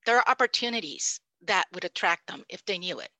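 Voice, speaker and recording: A woman in her fifties from Puerto Rico, a native Spanish speaker, gives a conference talk over a video call. Her voice is bright and forward and sounds close and dry, with no room around it. She lectures in a loose, conversational way, full of fillers and little "right?" check-ins. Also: fairly low pitch, lively intonation, fairly quick, no room sound. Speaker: professor and panelist.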